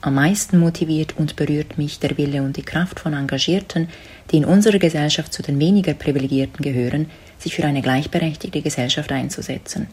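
A woman from Zurich speaks in a low, husky voice. She reads her prepared statement in an earnest, articulate way. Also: a little fast, darkish tone. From Zurich, Switzerland. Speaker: community member.

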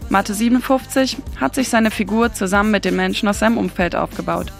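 A woman radio reporter, reading a scripted news feature in a clear, steady way. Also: medium pitch, fairly quick, soft background music.

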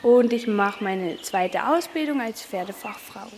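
A woman's voice: mid-range voice, lively intonation, a little slow, a little mellow, long reverb tail, slight background noise. A woman in her twenties, an apprentice, answering casually with some hesitation. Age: 20s. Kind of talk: interview answers.

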